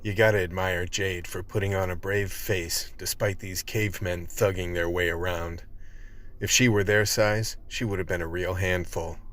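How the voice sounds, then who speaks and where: Low-voiced, wry crime storyteller. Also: male voice, steady tone, normal speed, a bit bright. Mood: grim storytelling. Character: wry, colloquial male vice team member recounting the tale. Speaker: radio drama narrator. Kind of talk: scripted in-character narration.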